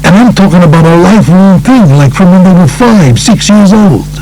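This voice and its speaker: A blunt male mobster from New York, acted out by a male audiobook reader, talks in punchy, emphatic bursts. His pitch jumps around with lively swings, and he sounds like a streetwise man laying out his life. Faint music can sit behind the words. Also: normal speed, rough recording. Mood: indignant, blunt. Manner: profane, emphatic, punchy short phrases.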